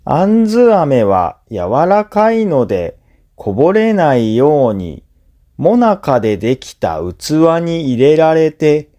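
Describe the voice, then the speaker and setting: A man narrating a scripted listening lesson for language learners, phrasing deliberately in short segments. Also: fairly high pitch, big pitch swings, warm tone, highs cut off. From Japan.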